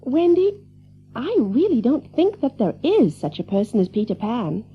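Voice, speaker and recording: A woman's voice: fairly high pitch, sing-song, normal speed, highs cut off, a little hiss or hum. A woman playing a sweet, genteel, wondering mother in a children's audio drama. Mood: gently questioning.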